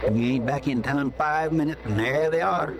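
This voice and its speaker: An actor playing a loyal, folksy frontier deputy with a drawl in a 1950s radio drama. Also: male voice, on the high side, normal speed, a crowd in the background, lightly acted. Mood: folksy worried. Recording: noisy old radio broadcast.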